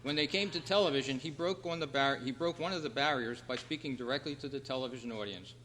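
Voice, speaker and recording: A man hosting a trivia quiz at a club meeting, reading out questions in a measured, announcing way. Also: mid-range voice, fairly bright tone. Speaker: quiz host. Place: hall at a convention club meeting. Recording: reverberant hall, clear background noise and hum.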